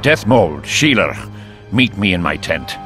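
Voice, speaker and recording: An actor playing a stern leader giving commanding orders in a video game. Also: male voice, sits low, sing-song, unhurried, bright, forceful tone, soft background music, full character voice. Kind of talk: dramatic video game dialogue.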